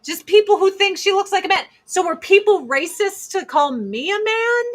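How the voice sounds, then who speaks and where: A woman in her forties with a deep voice, chatting bluntly and profanely on a casual livestream while doing her makeup. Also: expressive, moderate pace. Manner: blunt, profane, sarcastic, reads chat comments aloud. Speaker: livestream host.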